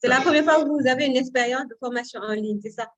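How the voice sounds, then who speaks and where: A woman's voice: high-pitched, fast talker. A woman moderating an online training session over a video call, politely handing the floor to participants, with small hesitations. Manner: polite, hesitant, repeats words.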